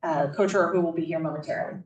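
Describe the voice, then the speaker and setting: A woman staff member calling the roll at a public meeting in a brisk, matter-of-fact way. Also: low-pitched, fairly even intonation, darkish tone, dull audio, a little hiss or hum. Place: council chamber. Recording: echoey chamber, mic at a distance.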